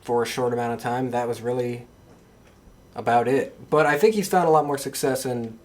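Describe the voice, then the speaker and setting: A man's voice: medium pitch, moderate pace, a little mellow. A young man hosting a wrestling podcast, talking in a casual, conversational way. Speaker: podcast host. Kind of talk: casual chat about pro wrestling.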